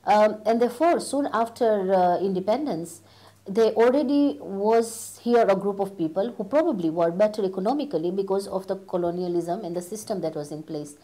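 A woman journalist answering in an interview, halting and hesitant with many fillers. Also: mid-range voice, mellow, poor audio.

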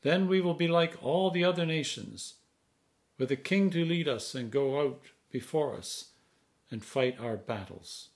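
A man in his sixties, a priest, reading scripture aloud in a measured, solemn manner with deliberate phrasing. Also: on the high side, expressive. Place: home study, indoors.

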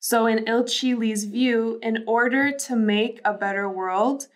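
A young woman from Lithuania co-hosting a podcast, explaining things in a calm, measured way. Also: on the high side. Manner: calm, measured, frequent "you know". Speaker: podcast co-host. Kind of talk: casual podcast chat. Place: small bright studio room, indoors. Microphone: desk microphone.

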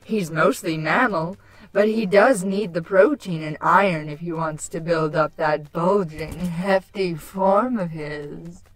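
A woman's voice: on the low side, slow. A woman playing a doting, haughty changeling queen in a fan fiction audio drama, languid, sultry and condescending. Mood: lovestruck.

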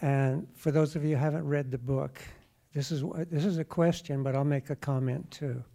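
A man, speaking deliberately and emphatically like a teacher as he makes a prepared comment from the audience. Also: on the high side, fairly even intonation, creaky, warm tone. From Norway. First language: English. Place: lecture theatre audience.

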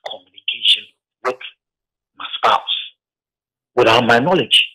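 A man from Ghana, calling in to a radio show by phone and telling his story haltingly, with restarts. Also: medium pitch, sharp tone, slightly rough, poor audio. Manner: heavy, halting account with restarts. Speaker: caller.

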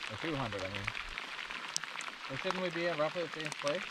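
A man in a canoe chatting with his fishing partner in a lively, joking way. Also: a little high, a little fast, very noisy. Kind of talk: casual outdoor chat. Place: canoe on a lake, outdoors.

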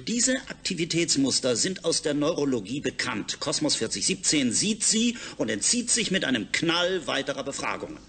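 A husky male voice playing a clinical scientist in a science fiction radio play. He instructs precisely, with a lively, varied pitch. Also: normal speed, few pauses, full character voice. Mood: precise, instructive. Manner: explanatory, precise. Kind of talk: German science fiction radio drama acting.

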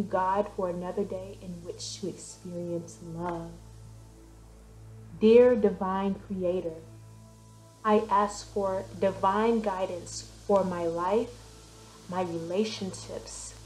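A woman guiding a meditation with affirmations, soothing and deliberate, pausing between short phrases. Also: medium pitch, a little mellow. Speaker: meditation guide and business coach. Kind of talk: guided meditation with spoken affirmations. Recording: roomy, echoing voice recording. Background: background music.

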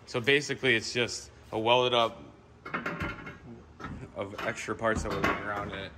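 A man's voice: on the low side, fairly even intonation, moderate pace. A man casually explaining and asking questions off the cuff as he films with a handheld camera. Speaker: camera operator and interviewer. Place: indoors in a wooden barn workshop. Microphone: handheld camera or phone mic. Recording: noisy handheld recording in workshop.

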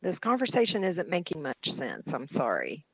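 A woman's voice: low voice, wildly swooping pitch, moderate pace, vocal fry, breathy edge. A woman working as a law office receptionist, talking politely and a little hesitantly with a caller. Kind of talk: phone conversation with a caller. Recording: muffled narrow telephone line.

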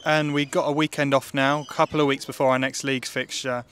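A man's voice: mid-range voice, flat delivery, fast, a bit bright, slight background noise. A man interviewing a football player after a match, asking long, composed questions. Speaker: club media interviewer.

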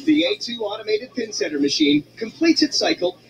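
A man narrating a televised documentary segment in a measured, smooth delivery with sweeping rises in tone. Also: extremely high pitch, animated, muffled recording. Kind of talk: scripted narration. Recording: music playing under the voice.

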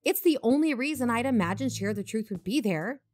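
A woman playing a careful, analytical investigative podcaster in an audio drama, reasoning in a measured way. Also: fairly high pitch, expressive, darkish tone, slight background noise, lightly acted. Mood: measured, wary. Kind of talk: audio drama dialogue and narration.

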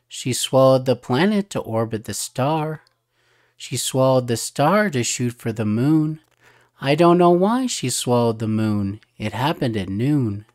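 A storybook narrator with a mid-pitched voice reads aloud for children. The delivery is slow and measured, with each phrase set out clearly. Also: a little mellow. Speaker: storybook reader.

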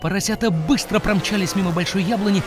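A storyteller narrating a scripted fairy tale for children in an expressive, dramatic manner. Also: mid-range voice, fast. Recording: music behind the voice. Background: music.

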